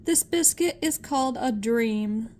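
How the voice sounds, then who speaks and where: Woman as little girl, high voice, helpful, polite, brisk, storybook character. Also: animated, a little mellow, slight background noise.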